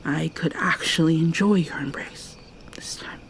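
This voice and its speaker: A woman voicing a gentle young mermaid in an ASMR roleplay, speaking softly and hesitantly, often in a whisper. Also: low-pitched, measured pace, creaky. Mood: shy.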